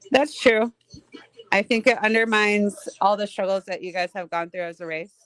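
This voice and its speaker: A woman guest in casual chat, talking in a relaxed, hedging way. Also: mid-range voice, normal speed.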